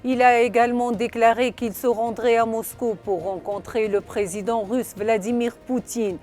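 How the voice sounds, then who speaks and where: A woman narrating a scripted news report in a formal, measured delivery. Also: fairly high pitch, steady tone, darkish tone. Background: background music.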